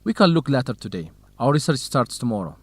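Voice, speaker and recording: A hospitable young man in a radio drama. His pitch moves in a very lively way as he explains things helpfully, recorded dry and close. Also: fast, no room sound. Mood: helpful, friendly. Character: warm, hospitable young Ethiopian man, student researcher. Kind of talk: scripted educational radio drama dialogue.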